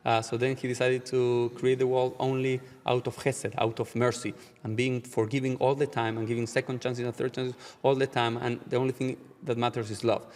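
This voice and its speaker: Man, Argentine Spanish accent, rabbi, measured and reflective, mid-pitched voice, lingering hall reverb. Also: little pitch movement, a little mellow. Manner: measured, slows down to stress key ideas, frequent "uh" and "okay?". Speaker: rabbi, guest. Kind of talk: reflective interview answers.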